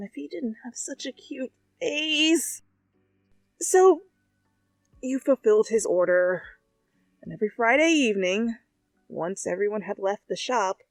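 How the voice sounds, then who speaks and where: A woman reading fan fiction aloud in an expressive, comedic style, with dramatic and conversational asides, close to the mic. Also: sits high, no echo. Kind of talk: expressive, comedic story narration. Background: faint background music.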